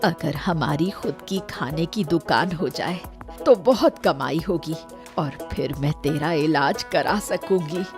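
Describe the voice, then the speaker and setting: A woman plays an old village granny from a cartoon moral tale, low in pitch and unhurried. She is shrewd and caring, coaxing with calm assurance, over a music bed. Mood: sly, tender. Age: older. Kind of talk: scripted cartoon story acting.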